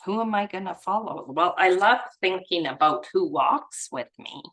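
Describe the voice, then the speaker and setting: A woman's voice: lowish voice, lively intonation, normal speed, fairly bright tone. A woman leading a study group, reading aloud and adding informal, musing, effusive personal commentary in a casual way. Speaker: reader and study group leader.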